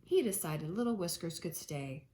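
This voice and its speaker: A woman teacher reading a children's storybook aloud, with expressive delivery and dramatic emphasis. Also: fairly low pitch, moderate pace, a little hiss or hum.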